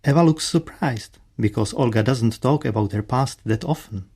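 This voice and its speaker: Guy narrating a radio play, dark mellow voice, bit husky. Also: mid-range voice, normal speed.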